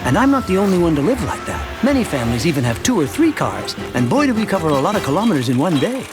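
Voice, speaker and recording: A man playing a chatty, wry middle-aged suburban family man who narrates his town's story in an animated short. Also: on the high side, fast talker. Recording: music behind the voice. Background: music.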